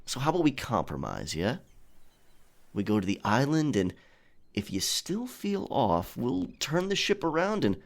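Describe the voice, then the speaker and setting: A man's voice: medium pitch, big pitch swings, normal speed, creaky. A man playing a roguish, affectionate pirate captain in an intimate, teasing romantic ASMR audio roleplay. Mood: earnest, persuading.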